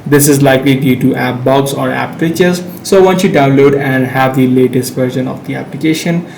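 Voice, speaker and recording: A man's voice: mid-range voice, fairly even intonation, normal speed, creaky, darkish tone. A man narrating a step-by-step how-to tutorial in an instructional manner, as a presenter. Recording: roomy echo, faint background noise.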